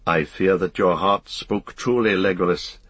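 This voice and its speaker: Male narrator as grave ranger, lowish husky voice, watchful, brooding, resolute, fantasy audiobook. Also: a bit bright, no room sound, exaggerated voice. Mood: grave assessment. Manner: brooding, measured, archaic phrasing.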